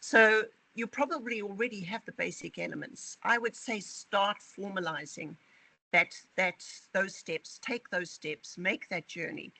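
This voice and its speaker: An interviewee speaks in a corporate video with a gravelly, husky edge to the voice. The tone is bright and pointed, and the delivery is emphatic, often doubling back on words. It is steady, firm talk about workplace practice. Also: medium pitch, a little slow, no echo, rough recording. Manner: emphatic, repeats words while searching for phrasing. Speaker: interviewee from a language training company.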